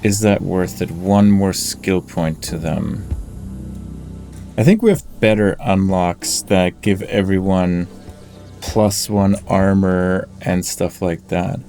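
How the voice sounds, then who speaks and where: A man giving casual commentary as he plays a game, musing aloud with pauses mid-sentence. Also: low voice, steady tone, gravelly, mellow. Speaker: gamer, let's play host. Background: game music.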